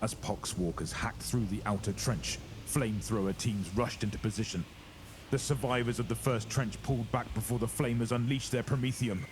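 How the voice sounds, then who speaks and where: A man's voice: medium pitch, steady tone, moderate pace, a crowd in the background. A man narrating a scripted horror audio drama in a dramatic storytelling style.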